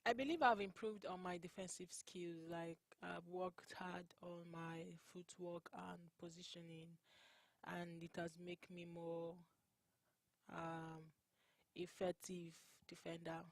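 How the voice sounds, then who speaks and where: A young woman from Nigeria with a Nigerian accent, whose first language is Igbo, answering interview questions in an earnest, unhurried way and laughing often. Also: on the low side, level tone, no room sound. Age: young adult. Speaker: college basketball player.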